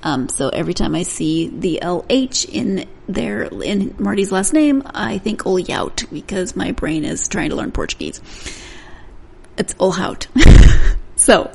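A woman's voice: lowish voice, animated, a little slow, creaky, darkish tone, slightly rough. A woman hosting a book podcast, chatting through an intro and catching her own slips with a laugh. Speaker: podcast host. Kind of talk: solo intro to a book interview.

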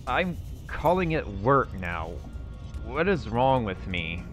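Slow-talking man musing to himself. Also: medium pitch, very animated. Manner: musing aloud to himself, in character. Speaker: roleplaying gamer. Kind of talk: in-character roleplay monologue over gameplay. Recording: echoey reverberant room with faint music.

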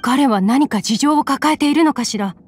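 A woman voicing a gentle mother in a game, speaking softly and politely. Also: high voice, normal speed, slight background noise, natural voice. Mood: thoughtful. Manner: soft, polite and anxious. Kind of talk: game voice acting.

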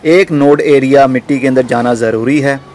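A man's voice: mid-range voice, steady tone, moderate pace, a little mellow, slight background noise. A man hosting an informal how-to gardening video, explaining step by step to the viewer. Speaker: gardening channel host. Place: outdoors in a rooftop garden.

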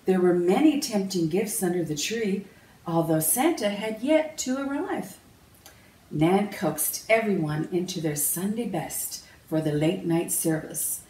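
A woman narrates a children's storybook slowly, with lively, swinging intonation. Her delivery is measured and expressive. The recording is roomy, picked up at some distance from the microphone. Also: fairly low pitch. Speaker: author reading own picture book aloud. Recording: roomy, distant mic, faint noise.

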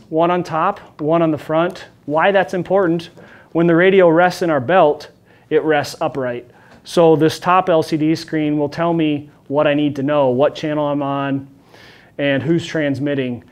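Man explaining, slightly high-pitched voice. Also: fairly even intonation, unhurried, clean tone. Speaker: police officer presenter. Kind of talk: informal explainer to camera. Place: small office meeting room, indoors.

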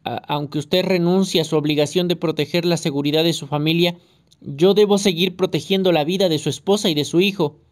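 A reader voicing a fearful, hesitant police officer on the phone in an audiobook. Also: male voice, fairly high pitch, steady tone, a little fast, natural voice. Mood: nervous. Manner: hesitant, muttering.

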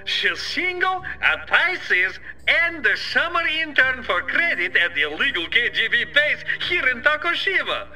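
A man's voice: extremely high pitch, big pitch swings, unhurried, bright, forceful tone, faint music behind, heavily acted, low-quality recording. A man playing a smug old Soviet premier villain in a video game, theatrical and gloating, with a Russian accent. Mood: showman enthusiasm. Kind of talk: video game cutscene acting.

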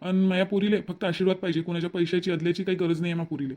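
A man's voice: high voice, fairly even intonation, very fast, a little mellow, close to an everyday voice. A man voicing a principled, earnest son in a cartoon family drama, with a Varhadi Marathi accent. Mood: reproachful. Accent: Varhadi (Vidarbha) Marathi.

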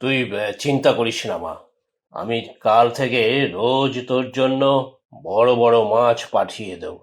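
A man's voice: mid-range voice, unhurried, lightly acted. A man voicing a proud, self-important businessman father in a cartoon, who dotes on his daughter. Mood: indulgent. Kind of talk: Bengali cartoon voice acting.

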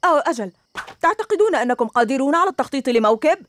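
A woman's voice: sits high, animated, rapid-fire. A woman voicing a boastful, bossy young ninja villain in a dubbed cartoon, gloating and commanding. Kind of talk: Arabic cartoon dub. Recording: studio dub with loud music.

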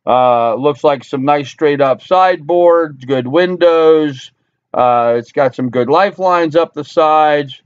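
Casual vlogger, male, middle-aged, American. Slightly high voice, rambling commentary, dull webcam sound. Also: slow pace, clean tone, dry recording. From Long Island, New York, USA. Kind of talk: casual talk-through commentary. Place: small cluttered wooden-ceilinged room indoors. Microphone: computer webcam at desk distance.

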